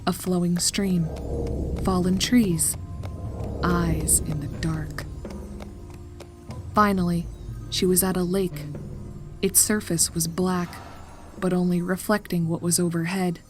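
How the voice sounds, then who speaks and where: A woman's voice: lowish voice, steady tone, takes it slowly, long pauses, soft background music. A woman narrating scripted horror fiction as a storyteller.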